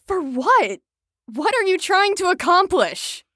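A woman's voice: very high voice, very animated, takes it slowly, sharp tone, no echo, exaggerated voice. A woman in an audio drama playing a firm carer on a patient ward, with a dry, exasperated manner.

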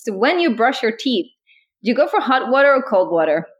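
A woman in her thirties from Northern Norway, speaking English with a Northern Norwegian accent as a podcast co-host, relaxed and laughing often. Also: a little high, fairly quick, lo-fi sound. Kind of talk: casual chat.

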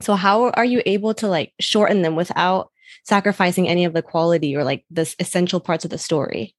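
A woman's voice: fairly low pitch, brisk, no echo, clean studio sound. A woman co-hosting an interview, chatting casually and putting questions in a slightly tentative, conversational way. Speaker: podcast co-host, interviewer. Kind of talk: casual chat, asking questions.

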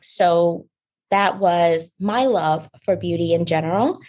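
Soft-spoken Vietnamese-born woman guest. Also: on the low side, slow, breathy edge. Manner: soft-spoken, fillers and restarts, light laughs. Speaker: beauty brand founder, guest. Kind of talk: casual interview talk.

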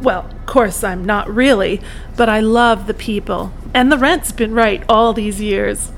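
A woman's voice: a little slow, rough recording. A woman acting in an audio play as a chatty, familiar elderly bohemian painter. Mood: playful, wistful. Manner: folksy, familiar, chuckling. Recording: clear vehicle rumble behind the voice. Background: bus ride ambience.

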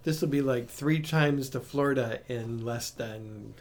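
Deacon co-host, mid-pitched voice, relaxed chatter. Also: male voice, unhurried. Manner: easygoing, short replies. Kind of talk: casual chat.

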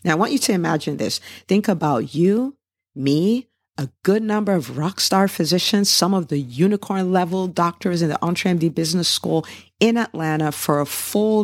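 A woman's voice: low-pitched, no room sound. Enthusiastic woman hosting a podcast, dry sound. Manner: enthusiastic, emphatic, addresses listeners directly. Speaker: podcast host. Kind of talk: upbeat promotional podcast hosting.

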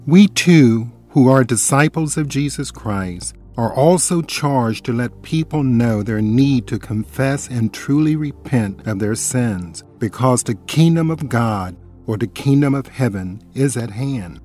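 A man's voice: mid-range voice, lively intonation, unhurried, hardly any pauses, darkish tone, soft background music. A man co-hosting a radio ministry, earnestly reading a scripted Bible study with quoted scripture and definitions.

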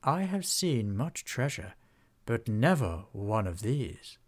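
A grand old she-dragon from a storybook, as a male narrator puts her on, raising his voice a little above his own. She speaks slowly and with measured courtesy, letting each compliment linger. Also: mid-range voice, sing-song. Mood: admiring. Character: gracious ancient female dragon, slow and stately.

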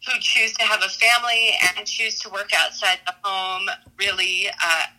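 A middle-aged American woman giving reflective interview answers as an educator, haltingly, often repeating and correcting herself. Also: medium pitch, level tone, bright, forceful tone, low-quality recording. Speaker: math teacher and school dean.

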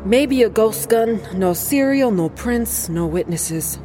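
A young female detective in an audio play, acted by a woman, impatient and dismissive yet composed. The delivery is slow, with music clearly playing underneath. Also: on the high side, expressive. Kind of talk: audio drama acting.

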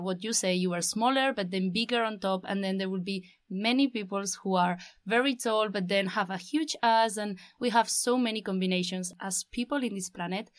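A woman's voice: mid-range voice, dry recording, studio quality. Young Basque woman, fluent talker. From Basque Country. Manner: fluent, long flowing sentences. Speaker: guest, design master's student and producer. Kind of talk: casual chat.

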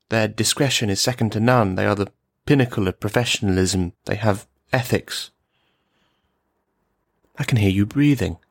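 A man's voice: mid-range voice, close to an everyday voice. A man playing an unhinged, paranoid loner who rambles on, taunting and insulting whoever is in the room, in an audio drama. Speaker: radio play performer. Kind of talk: radio drama monologue.

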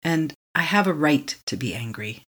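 A meditation guide leading a tapping session, speaking slowly and calmly in short affirmations for the listener to repeat. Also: medium pitch, slightly rough. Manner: calm, slow, repeating affirmations to be echoed back.

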